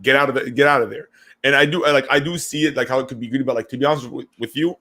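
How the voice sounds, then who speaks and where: Fast-talking young man, stammering chat. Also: mid-range voice, bright, forceful tone. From Syria. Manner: rapid, stammering restarts. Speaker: podcast co-host. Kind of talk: casual chat.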